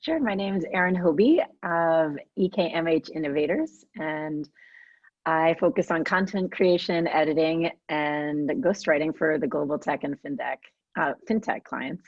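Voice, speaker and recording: A woman guest host who writes and edits, asking quick, friendly interview questions. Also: low voice, a little mellow, no room sound. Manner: friendly and brisk, with light laughs. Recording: dull, dry lo-fi audio.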